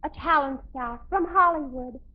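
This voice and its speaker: An actress playing a dreamy, melodramatic American teenage daughter with a theatrical, wistful manner in a 1950s radio sitcom. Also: female voice, high-pitched, moderate pace, close to an everyday voice. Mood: theatrical longing. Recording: dull old radio recording.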